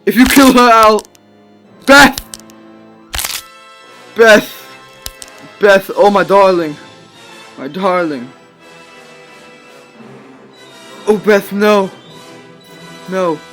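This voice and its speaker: Tormented scientist, higher-voiced and theatrical. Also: male voice, drawn-out words, long pauses, a bit bright, faint music behind, exaggerated voice. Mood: frantic, grieving. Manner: fervent, theatrical, anguished. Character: tormented, guilt-ridden male scientist haunted by his creation. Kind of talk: old-time radio drama acting and narration.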